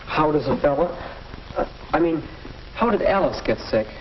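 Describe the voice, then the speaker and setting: A man's voice: a little high, animated, moderate pace, close to an everyday voice. An American young man playing an earnest, naive teenage boy in a 1940s instructional film, asking eager, slightly hesitant questions. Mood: puzzled, curious. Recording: muffled, hissy 1940s film soundtrack.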